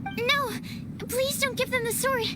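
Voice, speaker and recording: A woman's voice: very high-pitched, steady tone, a little slow. A woman voicing a timid, earnest young woman alchemist in a video game, hesitant, polite and apologetic in formal phrasing. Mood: urgent. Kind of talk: animated game dubbing. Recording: clearly noisy background.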